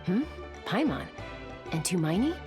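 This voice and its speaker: A confident, protective young warrior woman in a video game. Her voice sits low and moves expressively, assured and easygoing, with music behind it. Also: very animated, measured pace. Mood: casual.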